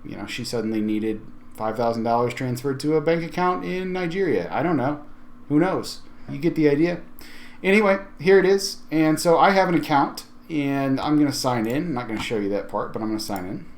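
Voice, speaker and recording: A man with a mid-pitched voice hosts a software tutorial. He talks informally and conversationally, thinking aloud as he walks through each step. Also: a little fast. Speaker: tech video host. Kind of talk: casual software tutorial walkthrough.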